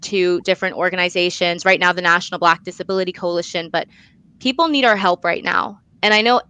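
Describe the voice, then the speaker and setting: A woman's voice: fairly low pitch, steady tone, quick pace, bright, forceful tone, clean tone, no echo. A young adult woman answering in a conversational interview, earnest and emphatic, repeating phrases for stress. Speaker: guest, student actor and playwright.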